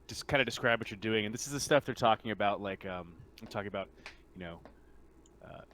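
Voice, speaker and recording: A man acting as game master, casually explaining rules in a halting way and rephrasing as he goes. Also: lowish voice, fairly bright tone.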